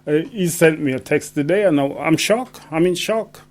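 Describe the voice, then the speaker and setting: A man talking as a poet guest on radio, deliberate and emphatic, often checking he is understood. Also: on the high side. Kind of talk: conversational radio interview.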